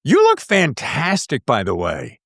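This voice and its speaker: A middle-aged man hosting a casual interview show, talking in an excitable, geeky and slangy way. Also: mid-range voice, measured pace, creaky, no echo, studio quality. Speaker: podcast host.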